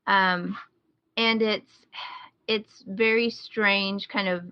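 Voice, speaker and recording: A woman's voice: mid-range voice, dry recording, dull audio. A woman with an American accent chatting casually as a podcast co-host, recommending things she enjoys. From rural Midwest United States.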